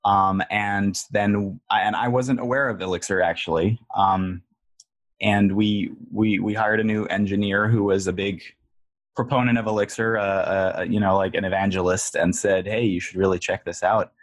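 A man being interviewed as a guest software engineer on a podcast, talking casually and haltingly with lots of filler words. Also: on the low side, steady tone, no echo.

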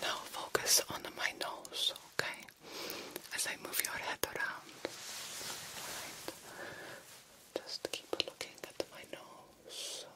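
A woman's voice: bright, forceful tone, poor audio. A woman voicing an attentive, courtly vampire doctor in a whispered ASMR roleplay, soothing and formal in her phrasing. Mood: clinical, soothing. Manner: slow, soothing, formal old-fashioned phrasing, reassuring.

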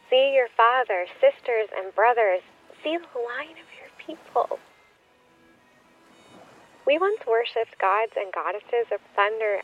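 A woman's voice: fairly high pitch, breathy edge, muffled recording, full character voice. An actress in a radio play playing an ancient foremother's spirit, speaking in a solemn, incantatory, reassuring way. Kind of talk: poetic radio play monologue. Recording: thin band-limited radio sound, music behind.

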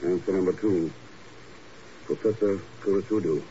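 An actor playing a cool, commanding secret agent in a 1950s radio drama, speaking in a clipped, deliberate way. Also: male voice, deep voice, mellow, faint music behind, natural voice. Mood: intent. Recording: muffled, hissy old radio recording.

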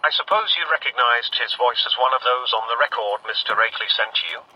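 A man's voice: medium pitch, brisk, slightly rough. A man playing a serene disembodied brain that speaks through an electronic machine in a radio drama, courteous and persuasive. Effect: electronic voice machine filter. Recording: dull radio-like electronic sound.

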